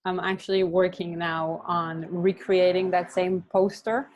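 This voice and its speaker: A woman who is a native Hebrew speaker, a visual practitioner answering interview questions slowly with many hesitations. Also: on the low side, little pitch movement, darkish tone, dull audio.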